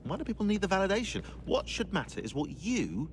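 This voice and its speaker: An actor playing a sardonic, opinionated historian in a video game, speaking in a dry, indignant, emphatic way. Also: male voice, high voice, huge pitch swings, brisk, full character voice. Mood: scornful, indignant. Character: sardonic, opinionated historian ally, scornful of politicians. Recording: music behind the voice.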